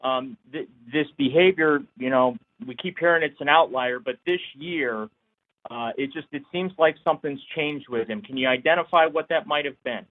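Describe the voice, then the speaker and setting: Higher-voiced male reporter on phone line. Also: normal speed. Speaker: sports reporter. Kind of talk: asking questions on a press call. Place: conference call.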